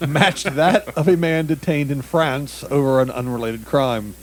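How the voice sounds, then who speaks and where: A man's voice: mid-range voice, steady tone, measured pace. A man co-hosting a comedy news podcast, reading strange stories aloud in casual banter, tripping over foreign words and laughing partway through sentences.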